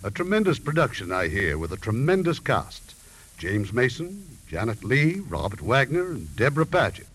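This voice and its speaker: A man's voice: medium pitch, big pitch swings, moderate pace, a bit bright, slightly rough. A man hosting a scripted interview on a 1950s radio show. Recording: hissy old 1950s radio broadcast.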